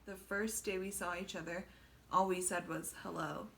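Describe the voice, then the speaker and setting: A woman's voice: on the low side, steady tone, normal speed, creaky, fairly bright tone. A woman in her thirties from the United States, chatting and teasing as she tells how she met her husband. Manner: chatty, teasing, giggles often. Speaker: wife telling how they met. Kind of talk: casual storytelling chat.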